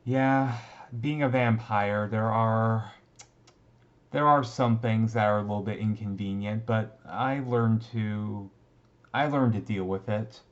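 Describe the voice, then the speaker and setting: Casting: male actor as brooding yet easygoing teen vampire for ASMR roleplay; low, slow, halting delivery, slightly muffled recording. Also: fairly even intonation. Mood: resigned. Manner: halting, conversational, mildly resigned. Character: brooding, easygoing male teenage vampire classmate, secretly thirty-seven. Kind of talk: ASMR roleplay, casual intimate talk.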